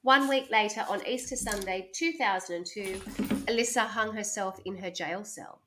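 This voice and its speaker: A woman's voice: medium pitch, moderate pace, noisy background. A woman hosting a podcast, telling a true crime story in a chatty way and laughing often. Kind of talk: casual chat, storytelling.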